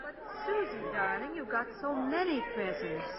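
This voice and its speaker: A woman's voice: sits high, very animated, moderate pace, some chatter behind. An actress playing a caring, earnest mother with a soothing, emphatic manner, in 1940s radio style. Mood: earnest, pleading. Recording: dull, band-limited 1940s radio recording.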